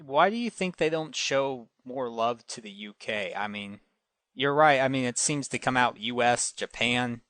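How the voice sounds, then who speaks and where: A man's voice: mid-range voice, expressive, moderate pace, fairly bright tone, no room sound. A man chatting casually as a podcast panelist, asking and musing in everyday speech.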